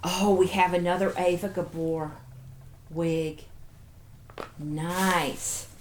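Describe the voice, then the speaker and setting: A woman's voice: fairly low pitch, steady tone, slow pace, a little hiss or hum. A woman hosting a casual show-and-tell, chatting playfully and cooing as if to little toys and viewers. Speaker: host of a toy show-and-tell video.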